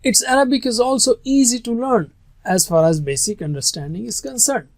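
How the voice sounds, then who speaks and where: A man's voice: very high-pitched, fairly quick, darkish tone, no room sound, studio quality. A man whose first language is Urdu, teaching a recorded lesson on Quranic Arabic in an earnest, emphatic way that stresses key words.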